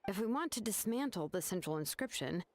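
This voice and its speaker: An actress voicing a laid-back young craftswoman who explains things in a relaxed, matter-of-fact way. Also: mid-range voice, fairly quick, natural voice. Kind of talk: video game voice acting.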